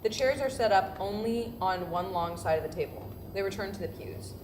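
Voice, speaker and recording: A woman's voice: mid-range voice, expressive, moderate pace. A woman reading stage directions aloud as scripted narration at a live staged reading. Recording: echoey, noisy room, live staged reading.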